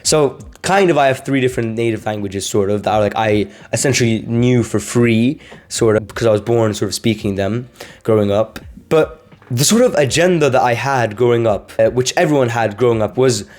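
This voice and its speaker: A man's voice: medium pitch, a little fast, darkish tone. Rambling young man from Sweden. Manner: rambling, with many fillers and restarts. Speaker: language learning vlogger. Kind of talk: casual storytelling to camera.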